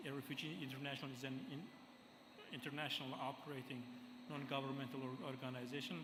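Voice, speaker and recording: A man chairing a conference session, speaking formally and haltingly with frequent hesitations. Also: medium pitch, little pitch movement. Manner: halting, formal, many ums and restarts. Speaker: session moderator. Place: large conference hall. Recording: echoey hall with clear background noise.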